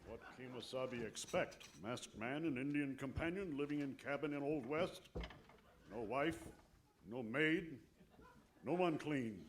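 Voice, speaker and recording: A man's voice: medium pitch, a little slow, lightly acted. Man acting Tonto, a terse grumbling Western sidekick, noisy recording. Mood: grumbling deadpan. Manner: terse, broken English, dropping words. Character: Tonto, grumbling dry-humored Native sidekick to a cowboy hero. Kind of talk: old-time radio comedy acting. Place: convention stage performance. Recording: noisy live convention stage recording.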